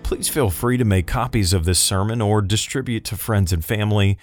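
A man with a low voice reads a formal intro calmly and evenly. Guitar music plays clearly behind him. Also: moderate pace. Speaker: announcer. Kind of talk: scripted intro announcement. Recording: announcement over guitar music.

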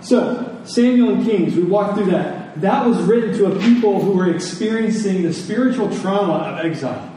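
High-voiced man preaching in an echoey room. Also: normal speed, few pauses, mellow. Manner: conversational teaching with repetition and rhetorical questions. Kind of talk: sermon to a Sunday congregation. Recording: echoey room, distant mic, faint noise.

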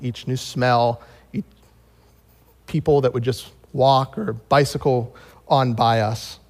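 An American man preaching as a pastor, moving between scripture reading and personal storytelling. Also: a little high. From United States. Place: large reverberant room, speaking to a congregation. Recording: long hall reverb.

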